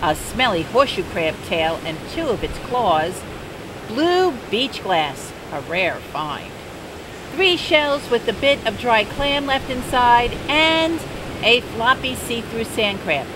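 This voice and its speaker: An older woman reading a children's picture book aloud in a gentle, unhurried bedtime-story manner. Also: on the high side. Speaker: storybook reader. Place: outdoors by the sea. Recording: steady outdoor noise.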